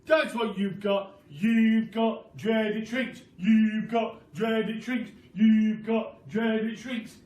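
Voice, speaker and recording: A man voicing a mean, bullying storybook husband, loud and forceful, gleefully taunting with slow, hammering emphasis. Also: high voice, steady tone, highs cut off, voice pushed up. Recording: roomy, distant, noisy home-style recording.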